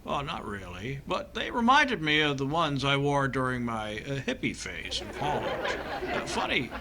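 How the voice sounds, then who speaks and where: An actor playing a nervous, dithering doctor who stammers and hesitates with dry humour, in old-time radio comedy style. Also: male voice, mid-range voice, sing-song, moderate pace, noisy background. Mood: flustered. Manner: hesitant, stammering, dryly comic. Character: nervous, dithering American doctor and husband in comic thriller.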